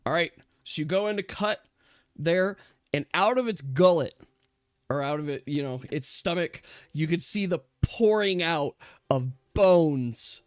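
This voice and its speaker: A man's voice: a little high, measured pace, no room sound. A man narrating casually as game master on a livestream, close on the mic. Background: music.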